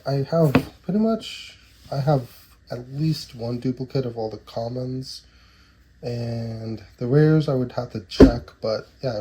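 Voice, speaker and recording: A man in his thirties with a stuffy, nasal voice from a cold, casually reading card names aloud and chatting while opening card packs. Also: medium pitch. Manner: rambling, reads card names aloud between asides. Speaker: trading card collector opening booster boxes. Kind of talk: casual box-opening commentary. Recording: noisy with card shuffling and clicks.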